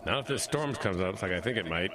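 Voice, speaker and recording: An actor playing a casual, plain-spoken local park ranger in a radio drama, with water ambience behind. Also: male voice, fairly low pitch, animated, fast, crowd noise, lightly acted. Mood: casual, mildly gruff.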